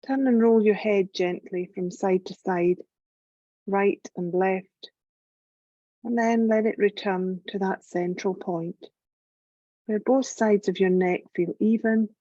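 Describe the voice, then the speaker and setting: A woman leading a guided yoga nidra meditation in a gentle, calm, measured voice with long pauses. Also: medium pitch, dry recording, lo-fi sound. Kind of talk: slow guided relaxation meditation narration.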